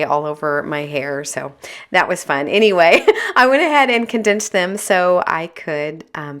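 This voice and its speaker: A woman with a raspy, hoarse voice, chatting candidly as a homemaking vlogger. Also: deep voice, a bit bright. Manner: chatty, candid, a little exasperated. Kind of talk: casual vlog chat.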